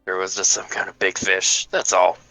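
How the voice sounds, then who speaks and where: A man's voice: deep voice, completely flat delivery, normal speed, pushed and bright, dry recording, soft background music, low-quality recording. A man voicing an easygoing older grandfather in a matter-of-fact, reassuring way for an amateur cartoon read.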